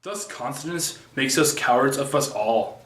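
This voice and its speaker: A man playing a brooding tragic prince, reciting a Shakespearean soliloquy in a halting, stumbling way. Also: medium pitch, expressive, a bit bright. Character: brooding young tragic prince, contemplative and despairing. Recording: echoey room.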